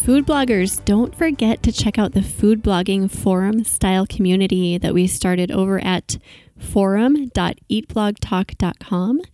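A woman's voice: medium pitch, a little slow, mellow, dry recording, soft background music. A woman hosting a podcast, reading promos and introducing a guest with bubbly enthusiasm. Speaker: podcast host. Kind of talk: podcast ads and interview.

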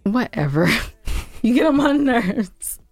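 A woman's voice: on the high side, very animated, slow, dry recording, faint music behind. An American woman co-hosting a podcast, chatting casually and giving show updates with playful banter. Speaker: podcast co-host.

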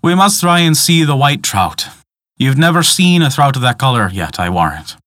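A man's voice: medium pitch, very animated, moderate pace, a bit bright, no room sound, studio quality. Man voicing a superstitious old peasant woman. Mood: folksy, coaxing. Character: old Irish peasant woman guide, superstitious, reverent storyteller. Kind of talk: storybook dialogue in dialect.

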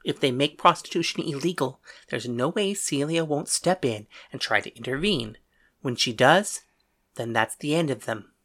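An audiobook mayor played by a woman, a man pitched low and pushed forward with a sharp, forceful tone. He is an exasperated husband who blusters indignantly and refuses to back down. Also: expressive, moderate pace, exaggerated voice. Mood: evasive, defensive. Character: bound male mayor, defiant, exasperated husband. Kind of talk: audiobook character dialogue.

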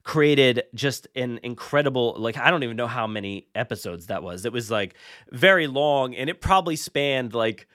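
Guy hosting a podcast, super casual, rambles n restarts his thoughts, kinda excitable, dry sound no room. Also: mid-range voice, moderate pace, no room sound. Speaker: podcast host. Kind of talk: casual chat.

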